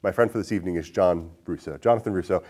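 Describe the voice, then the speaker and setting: A man's voice: low voice, fast, mellow. A man hosting a casual podcast chat, with a jokey way of talking. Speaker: podcast host.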